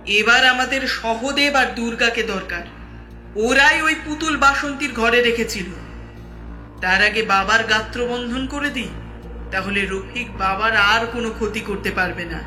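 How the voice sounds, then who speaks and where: A man's voice: very high-pitched, a little slow, full character voice. A man voicing a commanding female tantric sorceress who gives ritual orders. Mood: urgent. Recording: roomy echo with loud music.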